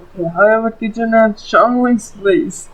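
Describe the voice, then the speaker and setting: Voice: medium pitch, normal speed, crowd noise. A gamer giving casual, impatient let's play commentary, padded with repeated filler words. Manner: repetitive filler "like, man", impatient. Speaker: gaming YouTuber. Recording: dull lo-fi mic over game music. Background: faint game music.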